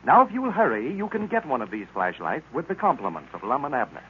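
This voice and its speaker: A man's voice: mid-range voice, sing-song, quick pace. A man working as an old-time radio announcer, reading scripted sponsor copy with polished, persuasive salesmanship. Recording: tinny, noisy old radio recording.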